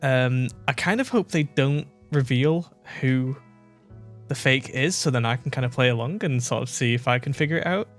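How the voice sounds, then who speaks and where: A man's voice: mid-range voice, moderate pace. A British man talks his way through a video he is watching, musing aloud with frequent "um"s and restarts. His voice sits in an ordinary middle register. Faint music plays underneath. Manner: chatty, thinks aloud with fillers and restarts. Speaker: reaction video host. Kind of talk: casual reaction commentary.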